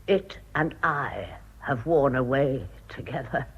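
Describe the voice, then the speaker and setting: An actress playing a bitter, decayed old jilted woman in a radio drama, speaking in a grand, deliberate, theatrical manner. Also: a bass voice, animated, breathy edge, exaggerated voice. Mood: hushed, bitter. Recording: dull old radio broadcast recording.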